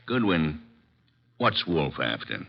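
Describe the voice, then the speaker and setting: An actor playing a gruff, businesslike American police inspector in a 1950s radio drama. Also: male voice, a bass voice, very animated, takes it slowly, full character voice. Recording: muffled old 1950s radio recording.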